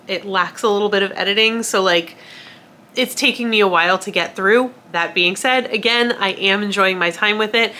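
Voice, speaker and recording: A woman's voice: medium pitch, bright, forceful tone. A woman with a cold and a nasal, congested voice, chatting casually to camera about books. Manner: chatty and self-deprecating, with frequent fillers and laughs. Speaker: book vlogger.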